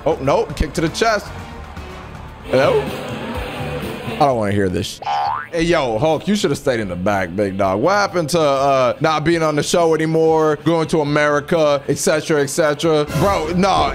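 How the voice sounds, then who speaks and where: A young man commentates his game in a high, lively voice that swings all over the place, with excited trash talk. His voice is going hoarse from the session. He speaks into a headset mic at home, with game music playing beneath him. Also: normal speed. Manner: excitable trash talk, reads messages aloud in mocking voices. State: losing his voice. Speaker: gaming channel host. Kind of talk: live gameplay commentary. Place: home streaming room. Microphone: headset with studio mic.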